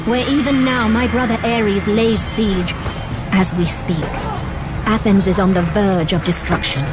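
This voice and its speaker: An actress playing a stately Greek goddess in a video game, solemn, measured and authoritative. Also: female voice, mid-range voice, lightly acted. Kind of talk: dramatic video game acting. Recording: orchestral game music behind.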